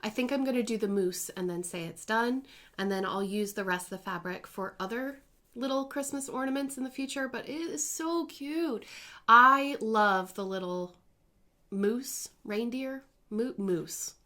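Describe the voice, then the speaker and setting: A woman's voice: fairly high pitch, measured pace, pushed and bright. Chatty woman in her thirties. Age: 30s. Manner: chatty and rambling, with self-corrections. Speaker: cross-stitch vlogger. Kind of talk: casual chatty crafting vlog. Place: home.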